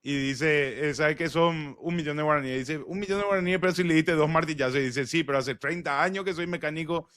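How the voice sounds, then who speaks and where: A man's voice: a little high, a little fast, hardly any pauses, a bit bright. Man hosting, ending points with tag questions. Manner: argumentative, seeks agreement with tag questions. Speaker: podcast host. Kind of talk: conversational interview.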